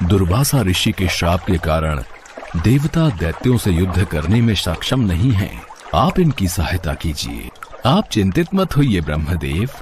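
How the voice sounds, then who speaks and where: Casting: man as grave god or demon in animated myth, lowish voice, formal declamatory lines. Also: slight background noise. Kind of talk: animated mythological story dialogue.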